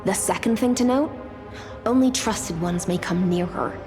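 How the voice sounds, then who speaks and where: A woman's voice: mid-range voice, normal speed, natural voice. A woman playing a cool, wry, seasoned warrior guide in a video game, with a dry, commanding manner. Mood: cool, instructive. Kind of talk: English video game voice acting. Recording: loud music behind the voice.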